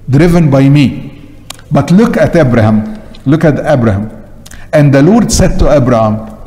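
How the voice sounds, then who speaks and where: Older Egyptian priest preaching in long reverb. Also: male voice, medium pitch, warm tone. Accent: Egyptian Arabic. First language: Arabic. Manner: emphatic, repeats short phrases. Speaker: Coptic Orthodox priest. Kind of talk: sermon. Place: large church interior, at the pulpit. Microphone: gooseneck pulpit microphone.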